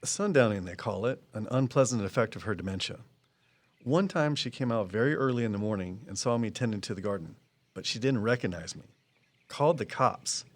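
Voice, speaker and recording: An actor playing a guarded, knowledgeable woodsman in an audio drama, explaining things in a measured, folksy way. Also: lowish voice, animated. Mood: regretful. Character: Walt, guarded, knowledgeable woodsman and conservation volunteer. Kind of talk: full-cast audio drama dialogue.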